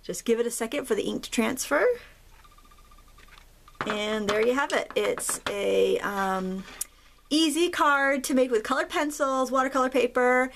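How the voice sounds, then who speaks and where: A woman hosting a craft tutorial, chatting in a relaxed, rambling way as she paints. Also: high-pitched, unhurried, gravelly, a little hiss or hum. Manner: relaxed, rambling, with fillers and soft laughs. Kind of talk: casual chatty tutorial narration.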